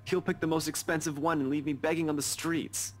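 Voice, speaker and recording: A man's voice: a little high, level tone, brisk, slight background noise, lightly acted. An actor playing a teasing, slightly awkward young man in a visual novel game, with casual, playful delivery. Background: music.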